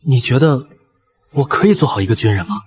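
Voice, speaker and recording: A young man playing an earnest, easily flustered noble heir, hesitant and insecure, in an animated costume drama. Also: mid-range voice, brisk, natural voice. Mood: sincere, apologetic.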